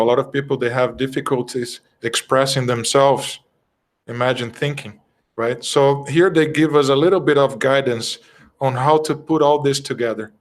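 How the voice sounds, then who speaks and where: Earnest man with Brazilian Portuguese accent. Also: mid-range voice. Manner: earnest, conversational, often pausing to search for words. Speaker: spiritist study group speaker. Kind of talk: informal doctrinal class talk.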